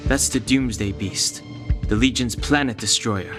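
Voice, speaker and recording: A man's voice: lowish voice, measured pace, natural voice. An actor playing a sober, cautious young male space traveler in a video game cutscene. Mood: wary, serious. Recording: loud music bed underneath.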